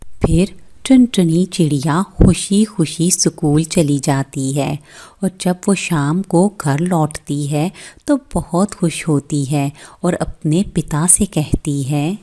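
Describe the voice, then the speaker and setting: Slow-paced woman storyteller, slightly low. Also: mellow. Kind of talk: scripted children's story narration.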